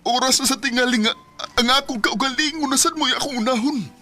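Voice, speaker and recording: Bright-voiced Cebuano man in a radio drama. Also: very high voice, a little fast, faint music behind. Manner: emotional, rising to pleading outbursts. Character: young man, family's giver, hurt and resentful. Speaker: letter sender narrating his story. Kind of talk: Bisaya radio drama acting.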